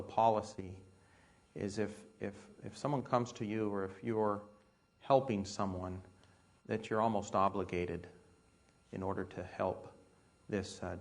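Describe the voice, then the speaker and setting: An American man, a military pilot, giving an informal storytelling talk in a conversational, halting manner full of asides. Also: sits low, vocal fry.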